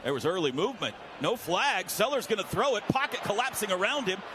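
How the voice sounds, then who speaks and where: High-pitched American football radio announcer, man. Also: a little fast. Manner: clipped, vivid, excitable calls. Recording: noisy stadium radio broadcast.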